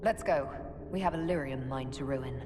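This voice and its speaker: An actress playing a composed, resolute female leader with a direct, measured manner in a video game. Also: rumbling low, over music. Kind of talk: dramatic video game dialogue. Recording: cavernous reverb with loud background noise.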